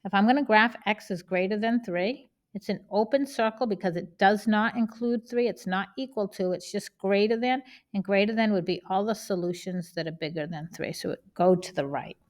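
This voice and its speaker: A woman's voice: mid-range voice, moderate pace. A woman with a Boston accent teaching a math lesson, explaining each step plainly and checking in with "okay?".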